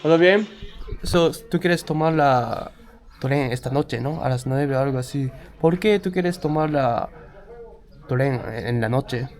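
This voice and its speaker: A man's voice: medium pitch, takes it slowly. A man from Japan, narrating a handheld travel vlog and chatting upbeat with people in simple Spanish and English. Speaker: travel vlogger. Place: outdoors at a migrant shelter by railway tracks.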